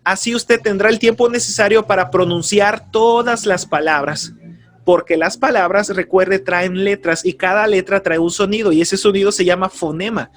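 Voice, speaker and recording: A man teaching a lesson on radio announcing, speaking earnestly and calmly, giving advice straight to his listeners and repeating key terms. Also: sits high, moderate pace. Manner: earnest, advisory, addresses listeners directly. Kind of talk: spoken course lesson.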